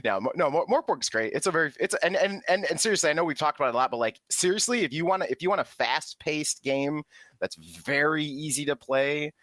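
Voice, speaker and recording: A man's voice: fairly high pitch, lively intonation, a little fast, a bit bright, no room sound, clean studio sound. A man co-hosting a casual gaming podcast, talking animatedly, swearing casually and stumbling into restarts. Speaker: podcast co-host. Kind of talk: casual chat.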